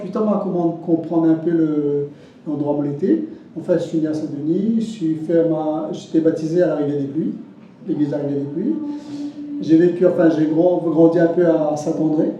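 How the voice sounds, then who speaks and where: Older Réunionese man, halting and dark-toned. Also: a little high, slight background noise. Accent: Réunionese French. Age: 70s. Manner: halting, repeats words, many restarts and fillers. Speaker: interviewee telling his life story. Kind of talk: spoken testimony. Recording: distant mic, roomy, dull and lo-fi.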